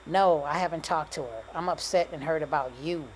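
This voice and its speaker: A woman's voice: sits low, brisk, a little hiss or hum, lightly acted, poor audio. A woman voicing a heartbroken, stubborn young woman, emotional and slangy, in audiobook dialogue. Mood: pleading.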